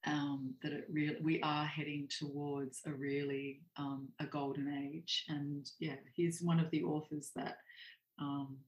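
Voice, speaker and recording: A guest author talks in a meandering, hedging way, dotting sentences with "sort of" and "um". The delivery stays flat and even in pitch. The voice echoes as if in a bare room. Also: a little slow. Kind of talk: reflective interview conversation. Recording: roomy echo.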